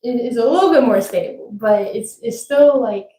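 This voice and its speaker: A woman's voice: fairly high pitch, lively intonation, normal speed, warm tone. Blunt woman in an echoey room. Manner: blunt, wry. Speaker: co-host. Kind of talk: casual livestream talk. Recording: echoey room with faint noise.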